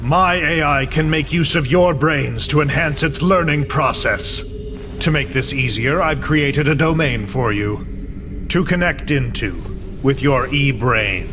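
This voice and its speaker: A man's voice: medium pitch, unhurried, noisy background, natural voice. A man playing a vengeful, coldly fanatical imprisoned scientist in a video game, speechifying in a grandiose, declamatory way. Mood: grandiose, commanding. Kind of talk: dramatic video game cutscene acting. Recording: dramatic music bed under voice. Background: loud music.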